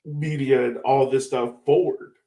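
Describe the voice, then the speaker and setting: A man's voice: mid-range voice, fairly even intonation, measured pace, warm tone. A man in his thirties with an American accent hosting a casual podcast chat in a rambling, jokey, slangy way. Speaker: podcast host, interviewer.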